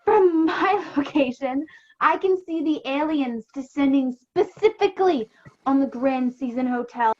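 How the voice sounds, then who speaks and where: A woman's voice: high-pitched, lively intonation, a little slow, a little mellow, some chatter behind. A teenage woman playing a solemn, dramatic radio news reporter in a radio play. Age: late teens. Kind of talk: 1930s radio news acting. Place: home room on a video call. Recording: dull video call audio.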